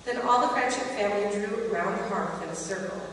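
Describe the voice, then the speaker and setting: A woman narrates a story as a dramatic reading in a mid-pitched voice. She is heard at some distance in a strongly echoing hall, with faint background noise. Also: a little fast, a bit bright.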